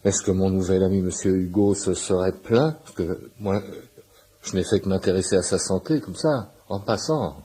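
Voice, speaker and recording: An actor playing a flustered, eager middle-aged patient who fancies himself a healer, stammering and apologetic in a French radio comedy. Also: male voice, deep voice, moderate pace, mellow, breathy edge. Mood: hurt, apologetic. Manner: stammering, apologetic, self-justifying.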